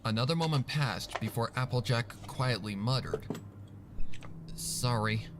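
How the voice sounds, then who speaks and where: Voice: medium pitch, lively intonation, measured pace, noisy background. A narrator reading a scripted fan fiction story with music underneath.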